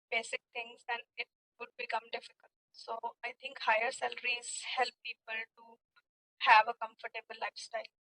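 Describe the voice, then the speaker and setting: A young woman with an Indian accent gives spoken answers as a test candidate. Her voice is high and bright, with very little melody. She speaks hesitantly, stopping mid-phrase to find the next words. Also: rough recording. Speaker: student in a spoken English practice test.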